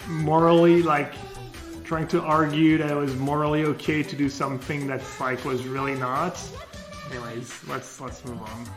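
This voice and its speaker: A man's voice: fairly high pitch, little pitch movement, moderate pace, reverberant, over music. A man from France, casually commenting on his card game for a live stream, thinking aloud and talking to his chat.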